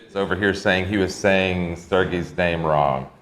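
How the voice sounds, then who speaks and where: A man with a very deep voice hosts a live stream, chatting loosely and reading viewer comments aloud. He talks in an easygoing, rambling way, and faint noise sits behind him. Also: steady tone, a little slow. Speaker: host. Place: indoor co-working space.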